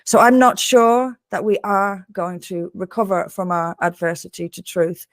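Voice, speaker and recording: A woman's voice: medium pitch, normal speed, no echo. A woman from Dublin with an Irish accent, giving earnest political commentary as a guest in a live discussion. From Dublin, Ireland. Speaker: interview guest, political activist.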